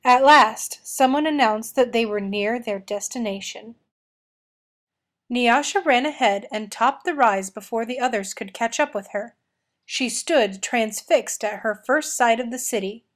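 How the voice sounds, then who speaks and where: A woman with a fairly high voice reads a storybook aloud. She narrates expressively, as a storyteller does for listeners following a tale. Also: measured pace. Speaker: storybook reader.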